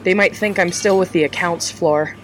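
A woman's voice: fairly low pitch, normal speed, soft background music, close to an everyday voice. An actress playing an earnest, hesitant young woman who works for a charity. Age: young adult.

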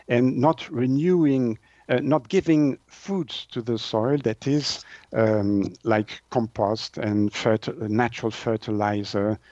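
Man, native French speaker from Switzerland, halting interview explanations, mid-pitched voice, dry muffled sound. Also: a little mellow, no room sound. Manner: halting, searching for words in non-native English. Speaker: naturopath and author, interview guest.

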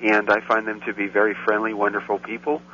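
Flat, bright-toned male interview guest. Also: fairly low pitch, quick pace. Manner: hesitant, with many fillers and restarts. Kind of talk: interview answers. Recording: muffled line with faint noise.

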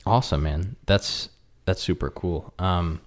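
Man, podcast host, very deep voice, casual, friendly, filler-heavy. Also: measured pace. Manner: relaxed, friendly, lots of fillers. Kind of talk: casual interview chat. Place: home kitchen.

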